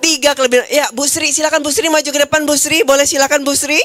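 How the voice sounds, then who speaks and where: Lively woman preaching in a church. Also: high voice, quick pace. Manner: lively, coaxing the congregation directly. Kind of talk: sermon with audience games. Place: church hall.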